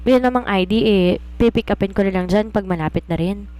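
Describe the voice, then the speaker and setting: A woman narrator acts out a young woman writer living a secret double life as a maid. She talks in a casual, brisk and evasive way, breezy and guarded, as in an audio romance novel. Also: medium pitch, warm tone, smooth, lightly acted.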